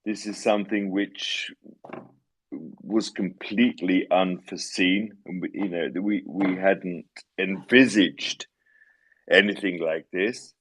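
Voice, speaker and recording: Slow halting low-voiced man hosting. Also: fairly even intonation, a bit bright, husky, rough recording. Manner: halting, many restarts and fillers; apologetic, wry. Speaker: AMA host. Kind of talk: casual live audio chat. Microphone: online audio call.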